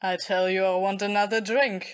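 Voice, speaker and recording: A woman's voice: medium pitch, little pitch movement, moderate pace, clean tone, lo-fi sound, lightly acted. A woman in an audio play acting a bitter, drunken and volatile jilted young woman with a taunting manner.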